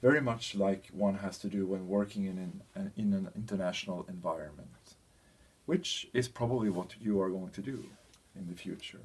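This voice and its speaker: A man giving an explanatory training presentation, speaking in a hesitant, halting way as he searches for words. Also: low voice, a little mellow, breathy edge. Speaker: presenter of a language training package. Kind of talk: explanatory presentation, loosely scripted.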